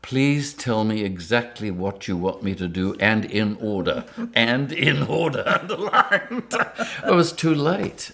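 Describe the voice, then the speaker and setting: An older man from Rhodesia, telling lively anecdotes in an interview and chuckling often. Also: medium pitch, gravelly. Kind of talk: storytelling interview.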